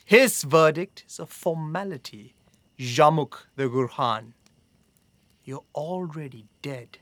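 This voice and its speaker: A man playing a stern, vengeful Mongol officer in an audio drama, speaking in a threatening, clipped and deliberate way. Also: a little high, fairly bright tone, dry recording. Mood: cold, menacing.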